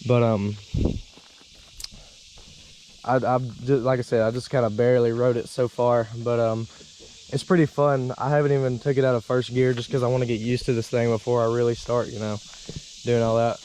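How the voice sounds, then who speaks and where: A man with a slight cold and a hoarse voice, casually rambling through a vlog walkthrough with plenty of uh and um. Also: mid-range voice, little pitch movement, moderate pace, pauses often, darkish tone, slight background noise, rough recording. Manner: rambling, lots of uh and um. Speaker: dirt bike vlogger.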